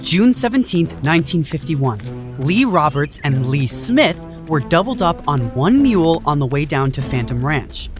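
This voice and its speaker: Dramatic, morbid hiker storyteller, very animated. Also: mid-range voice, measured pace, music in the background. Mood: gleefully ominous. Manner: dramatic storyteller, relishing grim details. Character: hiker who eagerly recites morbid trail history. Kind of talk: audio drama acting.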